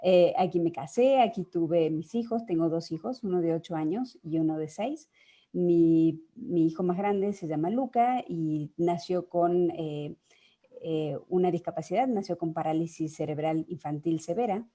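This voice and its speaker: A woman with a low, mellow voice tells her story as a panel guest over a video call. She speaks earnestly and often stops on "eh" and repeated words. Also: measured pace. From Argentina. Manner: earnest, hesitant with many fillers. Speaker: journalist guest. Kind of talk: personal account on a panel.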